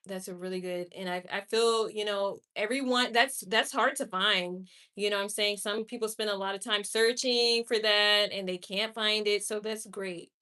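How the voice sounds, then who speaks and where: A woman's voice: mid-range voice, pushed and bright. Candid young woman livestreamer. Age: 20s. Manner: candid, opinionated, talks to chat directly. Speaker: livestream host. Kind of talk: casual livestream chat answering viewers.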